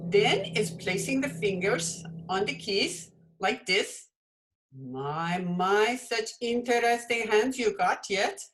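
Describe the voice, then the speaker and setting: Woman playing a slow, deliberate foreign piano teacher. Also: mid-range voice, expressive, poor audio. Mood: instructive. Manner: foreign-English syntax, deliberate speech. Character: female piano teacher and fortune teller, foreign, blunt. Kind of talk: old-time radio comedy acting.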